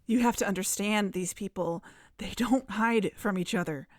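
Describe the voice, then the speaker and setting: A woman's voice: medium pitch, moderate pace, lightly acted. A woman playing a guarded, distrustful investigator in an audio drama, with a terse, serious, naturalistic delivery. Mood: doubtful.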